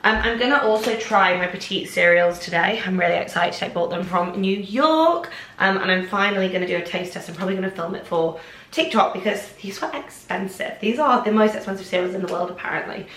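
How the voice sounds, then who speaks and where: A chatty young woman from England talks to camera in her kitchen, rambling happily with lots of little asides. Her voice sits at an ordinary middle pitch and sounds a bit snuffly. The room around her rings with echo. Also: a little fast, a bit bright, slight background noise. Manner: bubbly, rambling, lots of "like" and "oh my gosh". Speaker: vlogger. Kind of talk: casual chatty vlog to camera. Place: home kitchen, indoors. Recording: echoey room.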